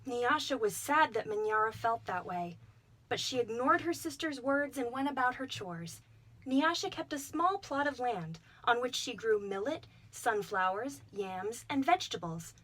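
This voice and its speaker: A woman reads a children's storybook aloud, her voice somewhat high and clearly expressive. She tells the tale at an even, warm storyteller's pace, giving each line careful shape. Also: a little slow, poor audio.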